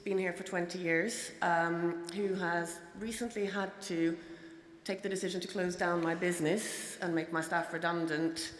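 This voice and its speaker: Woman in the audience, lowish voice, steady question with lots of ums, echoey hall. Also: slight background noise. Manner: measured, with frequent ums. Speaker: audience member asking a question. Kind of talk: prepared question from the floor. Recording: roomy hall with faint ambience.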